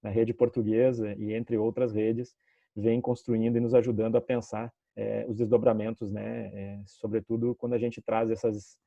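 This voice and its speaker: A man's voice: fairly low pitch, little pitch movement, normal speed, creaky, warm tone, no room sound. A man from southern Brazil on a video call, giving formal greetings and rambling with many filler tags and restarts. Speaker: city education representative. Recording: dull lo-fi call audio.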